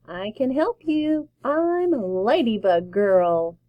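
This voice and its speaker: A woman's voice: a little high, animated, slow pace, no room sound, lo-fi sound. A woman voicing an eager little girl who plays a superhero, pleading and emphatic. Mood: playful.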